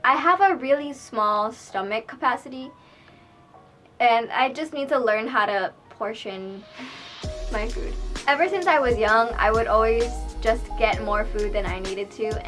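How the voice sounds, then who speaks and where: A young woman from Hawaii talks casually and confessionally to camera, like a vlogger, in a room at home. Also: on the high side. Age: young adult. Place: small room at home, indoors. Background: soft background music.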